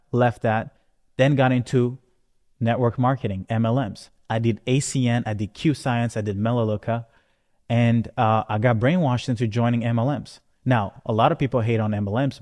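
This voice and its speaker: A man's voice: medium pitch, darkish tone. A man from Rwanda who moved to Canada as a teenager, an entrepreneur giving a conversational, emphatic motivational talk that often checks in with the listener. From born in Rwanda, moved to Canada at thirteen. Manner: conversational, emphatic, punctuated with check-in questions like "right?". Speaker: entrepreneur presenting. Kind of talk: motivational life-story talk to camera. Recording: long hall-like reverb.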